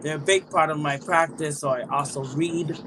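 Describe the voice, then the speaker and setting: A woman's voice: sits low, normal speed. A woman from Puerto Rico, a guest on a livestream, chatting casually with many "like"s and "um"s. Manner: relaxed, many fillers like "like" and "um". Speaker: guest practitioner. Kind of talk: casual show-and-tell chat. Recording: noisy livestream audio.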